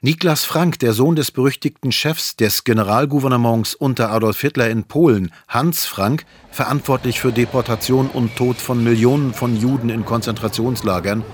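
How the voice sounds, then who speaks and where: A man's voice: mid-range voice, normal speed, few pauses, breathy edge, dry recording. Man reading a news voice-over, dry. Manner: even, formal. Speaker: news narrator.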